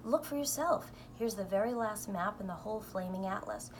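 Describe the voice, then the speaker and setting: A woman's voice: mid-range voice, moderate pace, slight background noise, close to an everyday voice. Woman reading as a blustering, panicky Air Force chief. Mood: alarmed. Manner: exclamatory, indignant.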